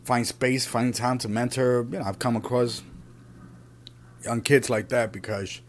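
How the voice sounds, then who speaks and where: A man, born in Puerto Rico and raised in the Bronx, giving an earnest, unscripted opinion monologue as a podcast host, often halting and repeating himself. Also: medium pitch, flat delivery, slight background noise. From Puerto Rico, raised in the Bronx, New York City.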